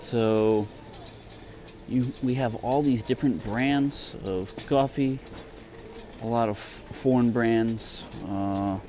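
A man with a mid-range voice talks slowly as he films a casual shopping vlog. He is offhand and hesitant, often leaving thoughts in fragments. The supermarket's ambient noise is plainly audible around him. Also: medium pitch, fairly even intonation, mellow. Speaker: vlogger. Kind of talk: casual shopping tour commentary. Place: large indoor supermarket. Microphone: handheld camera from behind it. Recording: clear store ambience noise.

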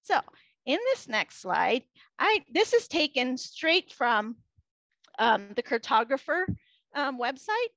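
A woman's voice: high voice, very animated, takes it slowly, a bit bright, dry recording. A woman in her thirties giving an earnest, explanatory conference keynote over slides on a video call, often restarting her phrases. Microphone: headset on a video call. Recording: dull lo-fi video call audio.

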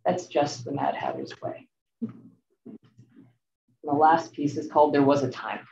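A young woman giving a rhythmic, measured poetry reading over a video call. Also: low voice, very animated, darkish tone. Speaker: poet reading. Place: large room with seated audience. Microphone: video call, off to the side. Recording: distant muffled echoey room audio.

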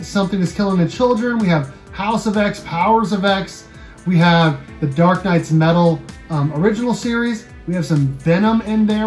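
An American man in his thirties hosting a casual video, running through items for sale in a room at home. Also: high voice. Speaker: YouTube channel host. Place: small room at home, indoors.

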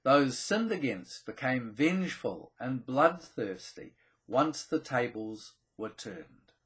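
A man presents a scripted explainer to camera, with deliberate, emphatic phrasing, dramatic pauses and a slightly husky voice. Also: mid-range voice. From New Zealand. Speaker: presenter of a theology video channel.

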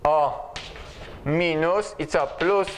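Slow-talking older male lecturer. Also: a little high, slight background noise. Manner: slow, asks students questions while writing on the board. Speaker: university lecturer. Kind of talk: chalkboard lecture. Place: large lecture hall in front of a chalkboard.

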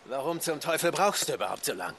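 A man's voice: on the high side, very animated, quick pace, fairly bright tone, a little hiss or hum. An actor playing an impatient, threatening henchman, curt and bullying, in a game dub. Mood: irritated. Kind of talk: German game dub acting.

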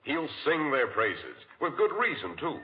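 A man's voice: medium pitch, huge pitch swings, measured pace, a little hiss or hum. A man reading a 1940s radio advertisement as a commercial announcer. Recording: dull 1940s radio recording.

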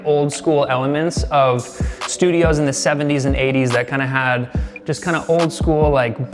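Male tour host, thirties, fairly high voice, casual and upbeat delivery, music underneath. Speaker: studio owner giving a tour. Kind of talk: casual presenter-style tour talk. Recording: music playing behind the voice. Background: background music.

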